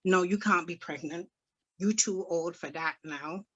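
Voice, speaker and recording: A woman's voice: on the low side, breathy edge, no echo, lo-fi sound. Casting: hot-tempered older Barbadian mother, put on by a woman reading aloud, Barbadian accent, scolding, dry close sound. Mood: exasperated. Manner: rapid, exasperated questioning, old-fashioned sayings.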